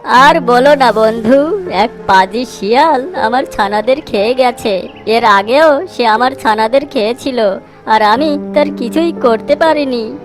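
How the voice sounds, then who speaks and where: A woman voicing a small, wronged mother bird in an animated moral story, earnest and emotional. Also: fairly high pitch, measured pace, soft background music, lightly acted. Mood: sorrowful.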